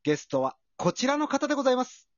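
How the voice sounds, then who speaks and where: Male podcast host, wildly lively pitch, slightly husky, casual-polite chat, roomy lo-fi sound. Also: quick pace. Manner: animated, casual speech mixed with polite forms. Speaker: music creator and sound engineer.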